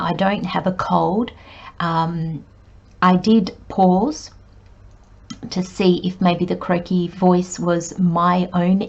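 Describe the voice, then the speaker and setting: A woman giving a tarot reading with a croaky voice, talking in an unhurried, meandering way with many pauses and fillers. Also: lowish voice, darkish tone, lo-fi sound. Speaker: tarot reader. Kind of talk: spoken tarot reading monologue.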